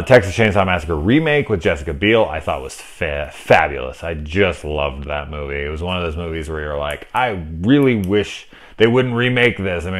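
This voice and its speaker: A man's voice: low voice, fairly bright tone. A man in his thirties giving a casual, profane spoken review of a horror film, seated in a small room lined with movie shelves, talking with many fillers and restarts.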